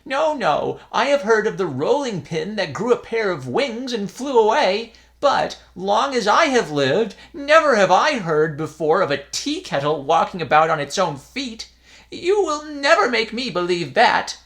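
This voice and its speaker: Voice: medium pitch, sing-song, a little slow, a bit bright, pitched above the performer's own voice. A reader voicing a fussy old male temple priest in a raised, exclaiming voice. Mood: scolding.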